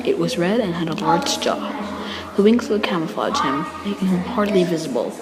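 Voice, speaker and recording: Lowish-voiced woman narrating fantasy. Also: animated, normal speed, over loud music. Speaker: author and narrator. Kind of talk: scripted fantasy story narration.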